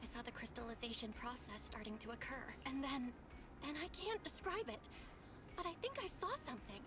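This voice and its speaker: An actress playing an earnest young heroine in a video game, speaking in a conversational way. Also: female voice, a little high, lively intonation, brisk, close to an everyday voice. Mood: shaken, recalling. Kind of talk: scripted video game dialogue. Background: game music.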